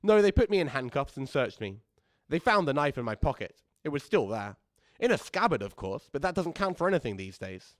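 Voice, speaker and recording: A man acts out an old widower confiding his tale in a radio play monologue. His voice sits at an average male pitch in a very dry recording. He rambles with fussy, pedantic corrections, aggrieved and coldly sure that his petty cruelty is justified. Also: very animated, fairly quick, no room sound, close to an everyday voice.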